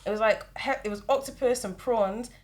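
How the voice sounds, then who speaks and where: A young woman co-hosting a podcast, chatting casually and telling an animated story with acted-out quotes. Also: a little high, a little fast. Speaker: podcast co-host.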